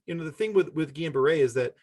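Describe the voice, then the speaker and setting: A man's voice: fairly high pitch, darkish tone, no room sound, studio quality. A middle-aged man telling a personal story as a podcast guest, talking conversationally and often stopping to correct himself. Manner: halting, conversational, self-correcting delivery.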